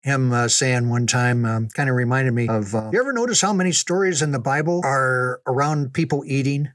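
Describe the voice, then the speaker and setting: Older guy spinning folksy stories, medium pitch, really dry sound. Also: brisk, no echo. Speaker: storyteller recalling ministry memories. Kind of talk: casual reminiscing storytelling.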